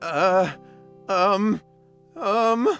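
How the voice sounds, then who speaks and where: A nervous young schemer with a fairly high male voice. He stammers and pleads like a flustered coward, in animated video game style, over background music. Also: extremely slow, heavily acted. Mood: nervous, evasive.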